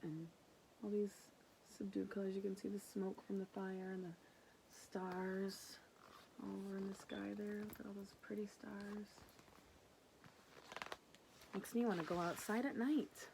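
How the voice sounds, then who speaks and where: A woman reading a children's picture book aloud in an expressive way, with casual asides. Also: mid-range voice, vocal fry, noisy background. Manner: animated, unhurried narration. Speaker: storybook reader.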